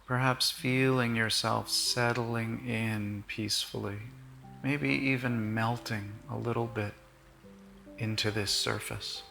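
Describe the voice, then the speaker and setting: A man leading a guided body-scan meditation in short, gentle, measured phrases with long pauses. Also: mid-range voice, fairly even intonation, vocal fry, faint music behind.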